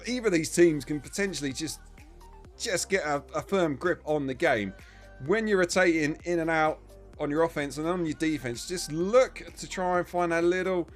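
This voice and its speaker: Man calling a game, voice going hoarse. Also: a little high. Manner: chatty, self-deprecating asides, bursts of excitement on big plays. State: starting to lose his voice. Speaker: esports commentator. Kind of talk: live play-by-play commentary.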